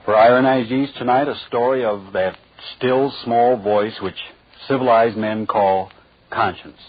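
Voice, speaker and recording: A man's voice: medium pitch, steady tone, measured pace, breathy edge. A man introducing a drama as host in 1940s radio style. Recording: muffled old radio recording.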